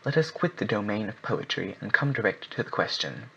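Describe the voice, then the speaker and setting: Man reading a formal older club president, lowish voice, muffled recording. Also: expressive, creaky, pushed and bright, exaggerated voice. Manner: measured, formal speech. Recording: muffled with faint hiss.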